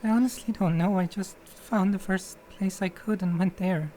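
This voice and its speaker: A woman playing a distressed, defensive murder suspect under questioning in an audio drama, speaking haltingly. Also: medium pitch, dark tone, slight background noise, natural voice, low-quality recording. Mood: nervous, defensive. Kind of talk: dramatic interrogation acting.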